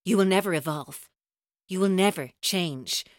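A woman's voice: fairly low pitch, normal speed, no room sound, studio quality, natural voice. A woman playing a tormented, vengeful captor in a radio drama, with an intense, taunting, accusatory delivery. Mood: accusing, menacing.

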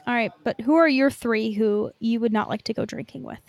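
A woman's voice: on the high side, brisk. A woman chatting casually as a podcast co-host, in informal speech peppered with "like". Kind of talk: casual fan podcast chat.

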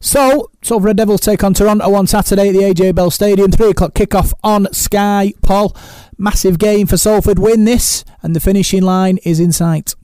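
A man's voice: high voice, fairly even intonation, moderate pace, hardly any pauses, a little mellow, clear, resonant tone, no echo. A man presenting a sports radio show in a loose, conversational way, sometimes correcting himself.

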